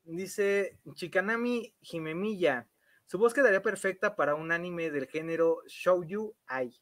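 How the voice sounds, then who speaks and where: A Mexican man hosts a casual livestream in a fairly high voice. The sound is dry and close as he chats and reads out viewer comments. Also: a little slow, no room sound. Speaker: livestream host, interviewer.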